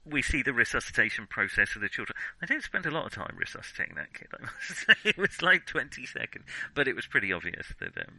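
A man in a casual film discussion, talking in a halting way and often correcting himself mid-sentence. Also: medium pitch, sing-song, sharp tone, no room sound, low-quality recording. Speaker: film podcast co-host.

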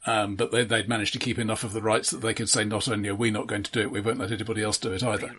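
A man co-hosting a casual film discussion, speaking haltingly and often restarting his phrases. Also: fairly low pitch, level tone, fairly bright tone, breathy edge. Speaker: podcast co-host discussing films.

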